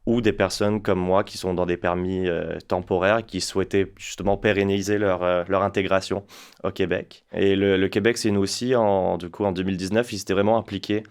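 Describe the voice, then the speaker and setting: A man's voice: low voice, flat delivery, brisk, smooth. A man in his twenties from France, a guest spokesperson talking casually in an interview, with lots of hesitations and restarts. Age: 20s. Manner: hesitant, many fillers and restarts.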